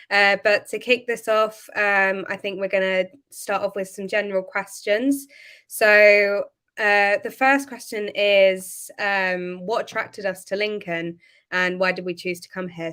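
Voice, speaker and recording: A woman's voice: mid-range voice, fairly bright tone, clean tone. Young female student host, clean resonant voice, casual panel chat. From Kent, England. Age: young adult. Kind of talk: casual hosted panel talk.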